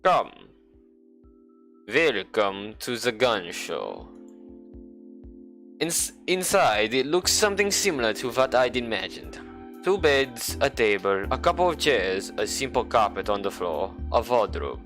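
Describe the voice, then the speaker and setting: Casual: young guy reading a visual novel out loud, sarcastic jokey asides, bouncy pitch, faint music. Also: expressive. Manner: playful, sarcastic, with mock-dramatic slowdowns. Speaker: game streamer.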